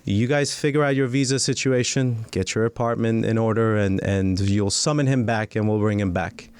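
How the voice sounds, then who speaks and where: A man's voice: fairly low pitch, fairly even intonation, normal speed, darkish tone, smooth, no room sound. A man, a lawyer and guest, telling his life story in a studio podcast interview, articulate and earnest.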